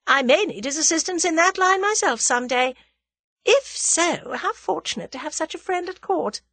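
A woman's voice: very high voice, animated, normal speed, bright, forceful tone, dry recording, pitched above the performer's own voice, heavily acted. A woman voicing a scornful, worldly female schemer in an audiobook, with a haughty, airy, taunting delivery and cool amusement. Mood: cool defiance. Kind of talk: dramatised audiobook character dialogue.

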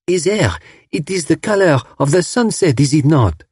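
Guy doing a coy french lady, broken english, pitched way up. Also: big pitch swings, moderate pace, darkish tone, breathy edge, no echo, studio quality, full character voice. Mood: coquettish. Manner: coy, flirtatious.

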